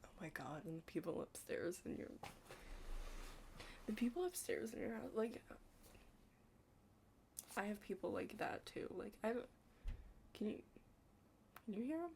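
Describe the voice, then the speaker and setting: A young woman speaks in a casual ASMR roleplay style, with plenty of vocal fry. Her medium-pitched voice is hushed and sometimes slips toward a whisper. She rambles and halts, leaning on "like", over faint background noise. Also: very animated. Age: young adult. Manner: rambling, halting, heavy use of "like". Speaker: ASMR roleplay creator playing a study partner.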